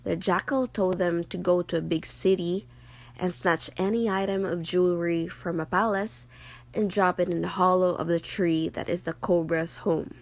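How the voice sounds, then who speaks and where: A woman's voice: lowish voice, fairly even intonation, moderate pace. A woman narrating a scripted story as a storyteller.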